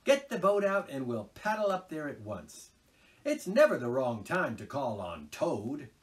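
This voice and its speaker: A man's voice: medium pitch, huge pitch swings, distant mic, voice pushed up. A man doing a hearty, friendly water rat. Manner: brisk, hearty, chatty. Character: good-natured male water rat, brisk and friendly.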